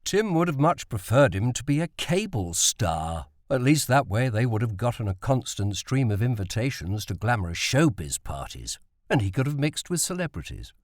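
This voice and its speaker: A man's voice: mid-range voice, big pitch swings, moderate pace, no echo, clean studio sound. A man reading a novel as an audiobook narrator.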